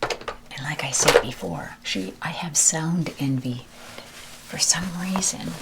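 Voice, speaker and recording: A woman whispering a rambling ASMR chat while ironing, her voice with a deeper timbre. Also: slow. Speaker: ASMR creator. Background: ironing noises.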